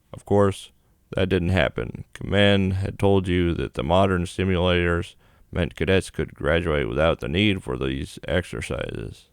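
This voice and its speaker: A man's voice: low-pitched, gravelly, clean tone, dry recording, clean studio sound. A man reading the narration of a visual novel aloud as a gaming video host.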